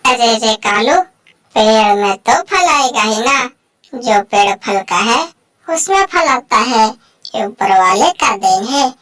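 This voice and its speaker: A high-pitched crow wife from a bird cartoon, played by a woman. She is fretful and easily exasperated, scolding and complaining in repeated phrases. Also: animated, takes it slowly, a bit bright. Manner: repetitive, complaining. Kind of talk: Hindi animated bird story dialogue.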